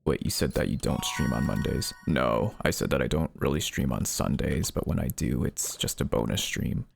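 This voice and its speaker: Guy streaming w a deep voice filter on, super gravelly, just chatting and answering chat. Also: normal speed, faint music behind. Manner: reads chat messages aloud and replies. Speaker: live streamer. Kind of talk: casual chat with viewers.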